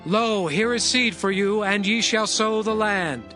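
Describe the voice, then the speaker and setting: A man's voice: high-pitched, a little slow, pushed and bright, faint music behind, voice pushed up. A man voicing a lofty, commanding governor. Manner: raised, declamatory pronouncements. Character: authoritative male governor, commanding and generous. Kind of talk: dramatized scripture reading.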